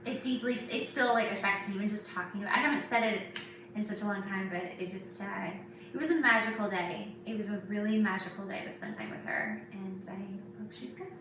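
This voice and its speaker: A woman's voice: mid-range voice, a little fast. A woman hosting a podcast, chatting casually in an informal, conversational way. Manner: informal, filler-heavy, conversational. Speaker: podcast host and author. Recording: very echoey, distant mic, clearly noisy.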